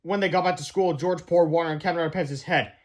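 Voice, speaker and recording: Male children's book reader, notably high-pitched, expressive storyteller with emphatic delivery. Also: fast. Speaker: read-aloud reader. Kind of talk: children's book read-aloud narration.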